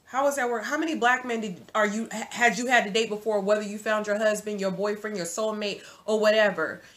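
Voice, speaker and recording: A woman's voice: mid-range voice, fairly quick. Chatty woman in her forties. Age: 40s. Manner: chatty, animated, addresses viewer as sis. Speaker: vlogger. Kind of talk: casual advice to viewers. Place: home room, indoors.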